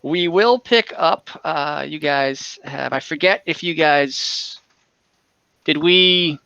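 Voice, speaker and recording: A man with a bright, slightly high voice works as game master of a tabletop game. He narrates casually and haltingly, feeling for his words. The sound is close and dry. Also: creaky, clear, resonant tone, no room sound, dull audio.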